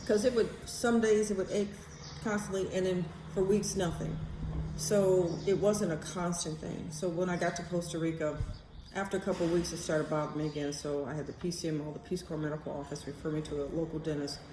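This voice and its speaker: A woman's voice: on the low side, fairly even intonation, fairly quick. An American woman telling personal stories in a casual, rambling vlog style, candid and blunt as she thinks aloud. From United States. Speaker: vlogger. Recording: roomy echo, faint background noise.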